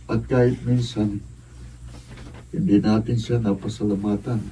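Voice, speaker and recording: A man's voice: lowish voice, flat delivery, unhurried, dark tone, far from the mic, a little hiss or hum, lightly acted, poor audio. A man acting a humble, remorseful young adult son and younger brother in an emotional Filipino radio drama. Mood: regretful.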